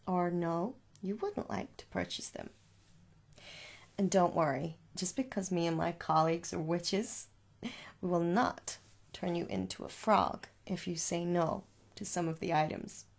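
A woman speaks softly in an ASMR sales roleplay, with plenty of fry in her voice. She takes her time and gently repeats words, sounding reassuring on a slightly low pitch. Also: unhurried. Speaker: ASMR performer playing a shop assistant. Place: small room indoors.

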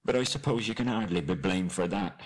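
A man's voice: low voice, brisk. A man voicing a small, good-natured hobbit in a storybook, earnest and rueful, with polite old-fashioned phrasing. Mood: mournful, self-reproachful.

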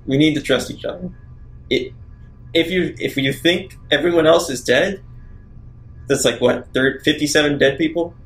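A man's voice: fairly high pitch, moderate pace, pauses often, a bit bright. A man improvising casually in character as a player in a tabletop role-playing game.

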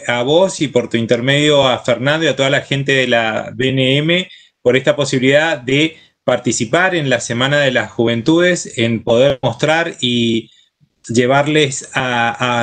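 A middle-aged Argentine man speaks informally over a video call at a medium pitch. His delivery is halting, and he repeats words as he goes through his thanks. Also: fairly bright tone. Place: indoor room with bookshelves. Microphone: computer mic on a video call.

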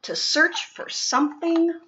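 A woman reads a children's picture book aloud with expressive, deliberate dramatic emphasis, recorded in a living room. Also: very high-pitched, lo-fi sound. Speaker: storytime reader.

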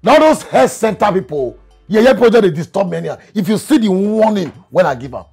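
A man's voice: mid-range voice, very animated, fast talker, breathy edge, soft background music, heavily acted. A man with a Nigerian accent playing a sly, blustering henpecked husband in a comedy skit. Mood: boastful.

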